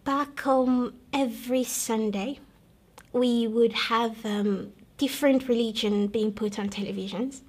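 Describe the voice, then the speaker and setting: Woman, young adult, from Congo, personal testimony, earnest, measured, halting restarts, slightly high voice, narrow flat intonation. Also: slightly rough. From Democratic Republic of Congo. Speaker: guest telling her conversion story. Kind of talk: personal testimony, recalled storytelling.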